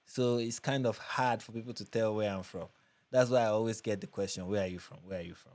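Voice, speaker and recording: Nigerian man, livestream host, lowish voice, dry close sound, chatty, repeats himself. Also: a little fast, darkish tone, no room sound. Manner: chatty, repeats phrases, frequent restarts. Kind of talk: casual livestream chat reading comments.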